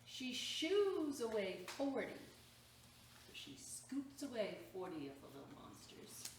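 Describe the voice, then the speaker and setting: A woman reading a children's picture book aloud as a storytime reader, expressive and exclaiming, pausing to point out the pictures to children. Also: fairly high pitch. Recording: roomy echo, distant mic, faint noise.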